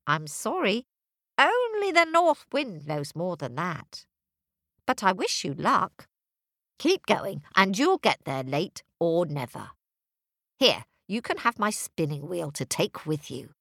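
A woman's voice: fairly low pitch, big pitch swings, slow, a bit bright, dry recording, studio quality, exaggerated voice. A woman doing a motherly old spinner woman. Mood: sympathetic.

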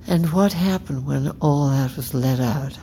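Bereaved mother, audio drama: bitter and unforgiving, very low register, unhurried, deliberate delivery. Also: slight background noise. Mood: probing. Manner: slow, deliberate, accusing, often hushed. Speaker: actor in a fiction podcast. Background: faint music.

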